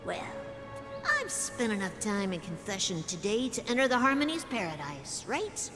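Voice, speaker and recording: A woman's voice: mid-range voice, lively intonation, normal speed, music in the background, natural voice. A woman playing a defiant merchant, sarcastic and confrontational in her delivery. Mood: scornful, challenging. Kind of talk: dramatic game voice acting.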